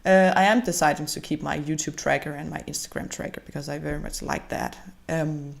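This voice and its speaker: A woman's voice: low-pitched, steady tone, fairly quick, gravelly. A young woman whose first language is Danish, narrating a journaling video in casual, hesitant English with frequent fillers. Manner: hesitant, with many fillers and restarts. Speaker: bullet journal vlogger.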